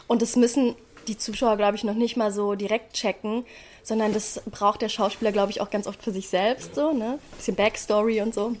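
Female stage performer, interview chat, medium pitch, candid informal phrasing that keeps checking for agreement. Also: fairly even intonation, a little fast, a little hiss or hum. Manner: candid, slangy, often seeks agreement with tag questions. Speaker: stage actress being interviewed.

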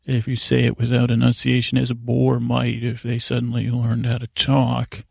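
Man with a very creaky, meandering bedtime drone. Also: medium pitch, monotone, a little mellow, dry recording, clean studio sound. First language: English. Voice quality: imprecise, slightly slurred diction. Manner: meandering, halting, repeats and trails off into tangents. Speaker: podcast host. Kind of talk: rambling bedtime storytelling meant to lull listeners to sleep.

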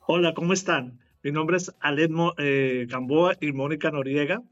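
Higher-voiced man on lo-fi video. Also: steady tone, moderate pace, a bit bright. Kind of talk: short video greeting.

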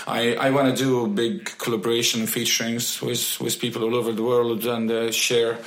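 Man speaking English, halting. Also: mid-range voice, level tone, reverberant, poor audio. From Egypt. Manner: halting, with frequent restarts and fillers. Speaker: musician guest. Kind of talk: interview answers.

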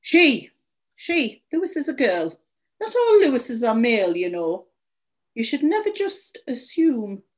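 A woman voicing a distraught, indignant story character, shrill, accusatory and pleading over her lost dog. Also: sing-song, unhurried. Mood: tearful, reproachful.

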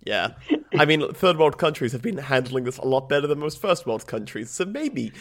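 A man co-hosting a casual podcast from his small flat, rambling a little with ums and restarts. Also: mid-range voice, normal speed. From Australia. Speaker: podcast co-host. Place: small home flat, blanket around the mic. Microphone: home podcast microphone.

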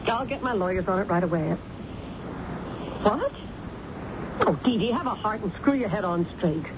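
An actress playing a middle-aged American businesswoman and controlling, wounded mother, brisk and emotional, in 1970s radio drama style. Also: mid-range voice, expressive, natural voice. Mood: pleading, hurt. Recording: muffled, noisy old radio broadcast recording.

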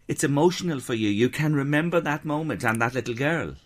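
A male radio presenter interviews a guest in the studio. His pitch moves in a lively way as he asks gentle, prompting questions. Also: a little fast.

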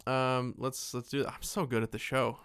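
A man with a medium-pitched voice talks as a podcast co-host in a dry, close recording. His delivery is casual and conversational. He often hesitates as he hunts for the next word. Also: level tone, moderate pace, a bit bright, clear, resonant tone, dry recording. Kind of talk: casual movie chat.

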